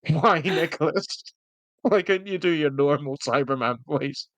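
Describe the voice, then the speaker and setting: A man's voice: fairly high pitch, a little slow, fairly bright tone, dry recording. A man co-hosting a casual review chat, talking in a jokey, teasing way. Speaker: podcast co-host.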